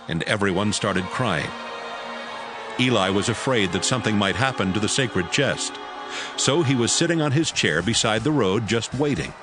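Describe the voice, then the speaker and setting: A man narrating a dramatized audiobook as a scripted storyteller. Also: lowish voice.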